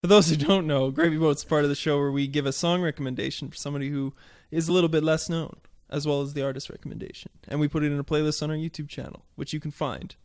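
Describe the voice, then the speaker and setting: A podcast host, a man with a slightly high voice, talking in a relaxed, chatty way. He runs the segments and plugs the show casually. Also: fast talker, hardly any pauses, no room sound, studio quality.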